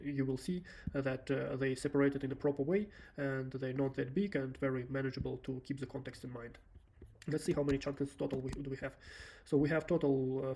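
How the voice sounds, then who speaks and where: A man's voice: medium pitch, dark tone. Russian-accented man explaining code. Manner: unhurried, explanatory, frequent "uh" fillers. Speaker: software developer presenting a demo. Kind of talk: screen-recorded coding walkthrough.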